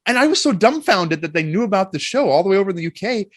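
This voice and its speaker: Expressive man telling stories, dry close recording. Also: high-pitched, fast talker, dry recording. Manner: self-deprecating, jokey, expressive. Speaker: voice actor, interview guest. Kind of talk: animated interview storytelling.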